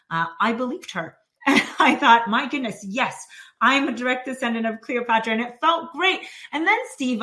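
A woman from Canada, a financial planner and author, telling personal stories as a guest on a remote interview, with frequent laughter and many fillers. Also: medium pitch. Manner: warm storyteller, laughs often, many fillers. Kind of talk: podcast interview.

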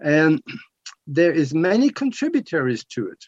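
A man's voice: fairly high pitch, sing-song, measured pace, husky, dry recording. A man in his seventies from Norway with a Norwegian accent, explaining things emphatically as a talk radio guest. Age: 70s. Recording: dull lo-fi talk radio audio.

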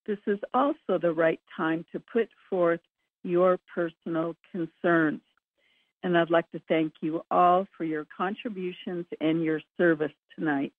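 A woman hosting a conference call with formal, measured, scripted-sounding announcements over a narrow phone line. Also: lowish voice. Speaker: call host. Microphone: telephone.

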